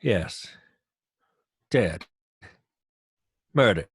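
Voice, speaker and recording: An actor playing a grave police commissioner in an old-time radio drama, with a husky voice. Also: male voice, fairly low pitch, lively intonation, drawn-out words, no room sound, clean studio sound, exaggerated voice. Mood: grim.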